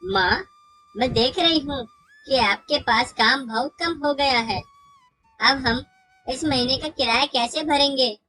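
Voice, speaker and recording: Lady doing a high bright village girl, earnest caring daughter in a kids moral story, music behind. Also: measured pace, breathy edge, dry recording, heavily acted, poor audio. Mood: worried. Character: earnest, caring young village daughter encouraging her mother.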